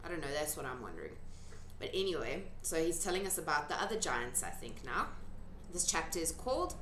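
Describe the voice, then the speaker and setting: A woman's voice: deep voice, sing-song, fairly quick, fairly bright tone, a little hiss or hum. A woman reading a children's storybook aloud expressively, with informal asides. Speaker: storybook reader. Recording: echoey room.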